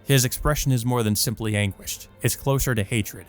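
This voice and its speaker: A man's voice: mid-range voice, normal speed, faint music behind. A man hosting a let's play, reading a visual novel aloud and chatting about it in a casual, rambling way full of fillers. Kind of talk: visual novel read-through with commentary.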